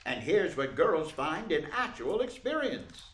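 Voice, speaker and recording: A man's voice: fairly high pitch, big pitch swings, a little slow, fairly bright tone, a little hiss or hum. A man playing a formal, emphatic 1940s radio announcer who also narrates the drama. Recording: echoey room.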